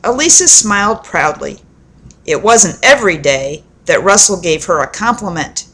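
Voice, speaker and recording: A woman telling a children's story aloud in an expressive, deliberate storybook manner, with dramatic pauses. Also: fairly low pitch, breathy edge.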